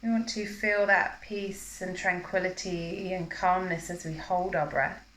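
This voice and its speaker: A woman teaching yoga, guiding a breathing practice in a calm, measured, instructional manner during a live class. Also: on the low side. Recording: roomy, echoing live class recording.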